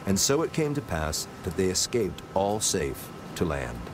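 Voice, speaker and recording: A man narrating scripture from a script in a measured, solemn manner, as part of a dramatized reading. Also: low voice, very animated, background noise.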